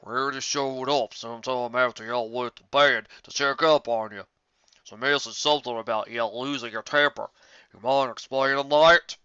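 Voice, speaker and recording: Big earnest storybook stallion done by a man, forceful and emphatic. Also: mid-range voice, fairly even intonation, normal speed, clean tone, dry recording, lo-fi sound, lightly acted. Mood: concerned, firm. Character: big, stoic, earnest male country stallion.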